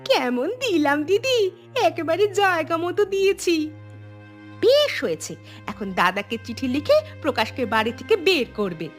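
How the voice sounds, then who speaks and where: A woman's voice: high voice, big pitch swings, normal speed, faint music behind. A woman voicing a sly young village gossip who stirs up trouble in a moral-tale cartoon. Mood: gleefully scheming. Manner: conspiratorial, gossipy.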